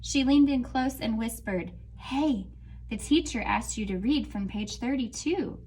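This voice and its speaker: A woman teacher reading a picture book aloud to children, pausing to talk about the story. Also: on the high side, darkish tone, a little hiss or hum, rough recording. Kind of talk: read-aloud storytime with commentary.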